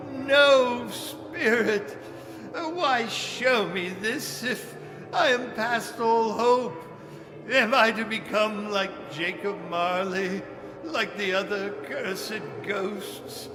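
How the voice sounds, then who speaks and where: A man playing an old miser in a theatrical staged audio drama, with a halting, stammering, melodramatic delivery. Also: high-pitched. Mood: horrified. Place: live stage in a library hall. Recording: echoey hall, music behind.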